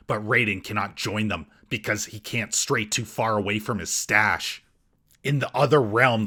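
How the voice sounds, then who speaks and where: A man's voice: lowish voice, pushed and bright. A man narrating a scripted comedic movie roast as host, in a sarcastic, mocking manner.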